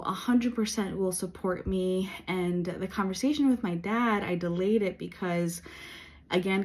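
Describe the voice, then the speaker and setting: A woman in her late thirties talking in a personal faith vlog, earnest and reflective, with many pauses and fillers. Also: medium pitch. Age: 30s. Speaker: vlogger.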